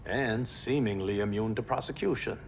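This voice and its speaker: An actor playing an earnest business adviser and confidant, measured and gently wry, in a dramatic video game. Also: male voice, on the low side, vocal fry, a little hiss or hum. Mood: concerned.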